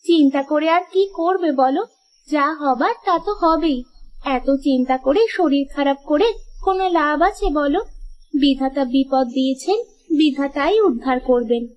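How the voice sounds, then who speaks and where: A woman's voice: high-pitched, moderate pace, dull audio, a little hiss or hum, natural voice. A woman voicing a caring, nagging farmer's wife in a cartoon, coaxing and full of questions. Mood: soothing. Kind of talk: cartoon moral-story dialogue.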